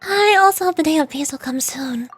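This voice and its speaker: A woman voicing a courteous female robot receptionist in a game, measured and slightly robotic. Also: high voice, lively intonation, noisy background. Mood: polite, earnest. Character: polite female robot receptionist of an adventurers' guild. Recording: music behind.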